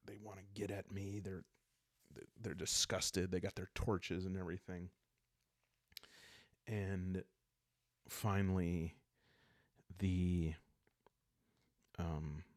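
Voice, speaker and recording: A man calmly narrating an improvised solo roleplay story in a soft-spoken, halting way, as if for sleep. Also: low voice, dry recording, studio quality.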